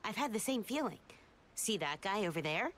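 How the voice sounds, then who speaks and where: Lively, swooping village vendor. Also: female voice, medium pitch, moderate pace, slight background noise. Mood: confiding, wary. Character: chatty, wary female village produce seller. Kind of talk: video game voice acting.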